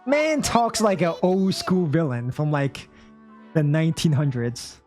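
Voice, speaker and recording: A man's voice: fairly high pitch, a little slow, darkish tone, faint music behind. Casual male game streamer reacting aloud. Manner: informal, exclamatory.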